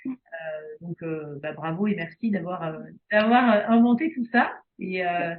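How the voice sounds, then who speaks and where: A woman's voice: on the low side, moderate pace. A woman from Belgium, a speech therapist talking casually in an interview, hesitant with many fillers and restarts. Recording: phone-like video call audio.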